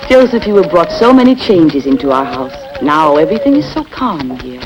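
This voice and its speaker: An actress playing the idle, flirtatious wife of a rich master, speaking in a languid, coaxing way. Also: female voice, low voice, very animated, moderate pace, lo-fi sound, background noise. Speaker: lady of the house.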